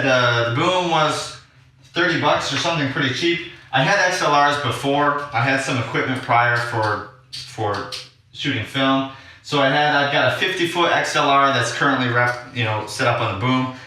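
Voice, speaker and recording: A man's voice: mid-range voice, normal speed, bright, forceful tone. A man talking casually and unscripted, explaining his equipment as a vlog host. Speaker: audio drama maker presenting his equipment. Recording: very echoey room, distant from mic.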